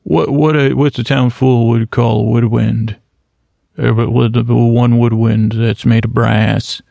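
A man hosting a podcast, musing in a self-mocking, deadpan way and wandering off on long tangents. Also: mid-range voice, unhurried, creaky, no room sound. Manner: goes off on rambling tangents. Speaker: podcast host. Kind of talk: rambling solo podcast intro.

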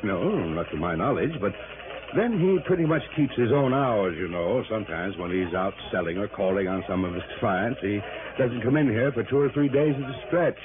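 A man's voice: on the low side, animated, moderate pace, lightly acted. An actor playing a fussy, self-important, fatherly company boss of middle age in an old radio drama, blustering and hesitant. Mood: flustered, evasive. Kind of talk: 1950s-60s radio drama acting. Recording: noisy, tinny old radio broadcast.

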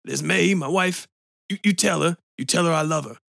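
A man voicing a bitter, weepy man with a smoker's rasp who pleads and breaks into tears. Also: fairly high pitch, normal speed, fairly bright tone, dry recording, studio quality, voice pushed up. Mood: tearful.

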